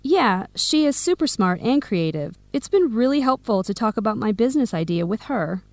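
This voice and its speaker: Enthusiastic best friend, high-pitched. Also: female voice, sing-song, moderate pace, no echo. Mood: excited. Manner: clearly phrased scripted explanations. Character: Samira, Helen's enthusiastic American best friend, aspiring business owner. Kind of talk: English-learning radio drama acting.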